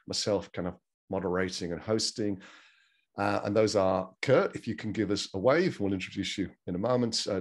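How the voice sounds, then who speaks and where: A man's voice: lowish voice, darkish tone. A man hosting an online meeting on a video call, welcoming and chatting informally with participants. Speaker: webinar host and moderator. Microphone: video call microphone.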